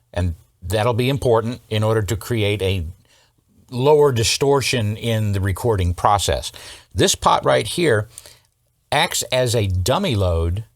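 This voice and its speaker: A man's voice: medium pitch, no echo. Male repair-channel host, mid-range voice, informal step-by-step technical explainer, dry close recording. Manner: explains step by step, checking in with okay and all right.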